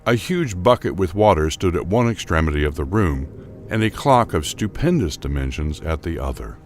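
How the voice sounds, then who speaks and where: Low-voiced, highly expressive male gothic narrator. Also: moderate pace. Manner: formal, theatrical, emphatic delivery. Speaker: narrator of an audio drama. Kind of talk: dramatic scripted narration of a gothic horror story.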